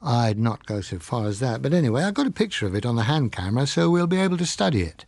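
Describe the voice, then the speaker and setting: Man playing a tentative, plain-spoken colleague, lively pitch. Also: fast talker, gravelly, no room sound. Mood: hesitant. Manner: tentative, matter-of-fact. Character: hesitant, practical male colleague, plain-spoken and modest. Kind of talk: dramatised audiobook reading.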